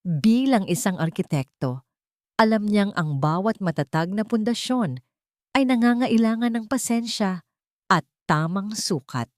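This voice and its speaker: A woman's voice: medium pitch, animated, measured pace, dry recording, clean studio sound. A woman storyteller reading a scripted romantic drama in a solemn, emotive way.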